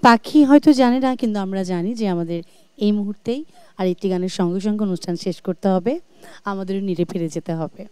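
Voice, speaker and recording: A woman's voice: mid-range voice, fast, mellow, clear, resonant tone, no echo. A Bangladeshi woman hosting a music show, speaking graciously and effusively to her guest and viewers. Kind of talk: presenting, chatting with guest and viewers. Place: TV studio.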